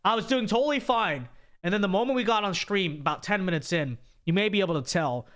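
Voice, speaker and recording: Young guy streamer, kinda high voice, scratchy sore throat, rambly and opinionated, reads super chats. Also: lively intonation, fast talker, fairly bright tone. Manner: opinionated, repeats himself, many restarts. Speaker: livestream host. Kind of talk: casual livestream commentary and super chat reading.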